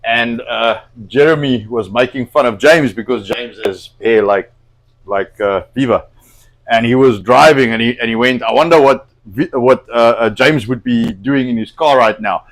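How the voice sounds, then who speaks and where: A man's voice: medium pitch, moderate pace, low-quality recording. A man whose first language is Afrikaans, hosting a casual cooking livestream from a home kitchen, rambling with plenty of fillers and restarts. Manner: rambling, hesitant, lots of fillers. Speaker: cooking show host.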